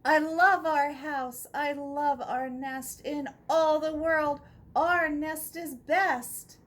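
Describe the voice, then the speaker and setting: A woman's voice: high voice, fairly even intonation, takes it slowly. A woman voicing a happy, agreeable male bird from a picture book in a sing-song, exclaiming way. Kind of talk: children's picture book read-aloud.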